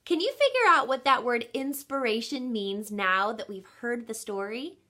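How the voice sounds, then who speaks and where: A woman teacher reading a picture book aloud to children in an expressive, instructive way, with deliberate emphasis. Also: on the high side. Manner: teacherly, asks listeners questions, deliberate emphasis.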